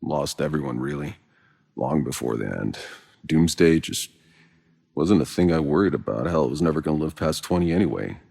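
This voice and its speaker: An actor playing a gruff, world-weary soldier in a video game, blunt and sardonic, with a gravelly voice. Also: male voice, extremely low pitch, lively intonation, moderate pace, darkish tone, long reverb tail, heavily acted. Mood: somber, weary. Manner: blunt, sardonic, casual and profane. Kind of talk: video game character dialogue.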